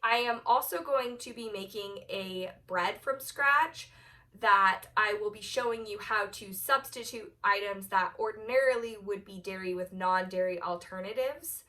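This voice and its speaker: A woman with a slight cold and a hoarse voice, chatting through a home cooking tutorial step by step in her kitchen. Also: medium pitch, a little slow, pushed and bright. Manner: chatty, explains steps plainly. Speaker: cooking channel host. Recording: voice a little far from the mic.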